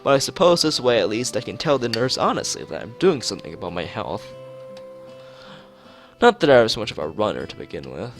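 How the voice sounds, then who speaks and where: A man streaming a visual novel, reading its script aloud haltingly, stumbling and repeating phrases, with casual asides. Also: mid-range voice, very animated, soft background music.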